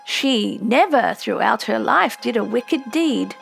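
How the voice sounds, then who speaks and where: A woman's voice: fairly high pitch, expressive, takes it slowly, creaky, breathy edge, faint music behind, full character voice. A woman playing a horrified, grieving male disciple in an audiobook tale, speaking formally and pleadingly. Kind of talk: audiobook character voice.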